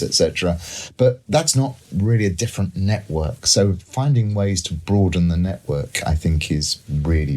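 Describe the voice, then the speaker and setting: A man talking as an expert panel guest, explaining his thinking in a conversational, discursive way. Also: low-pitched.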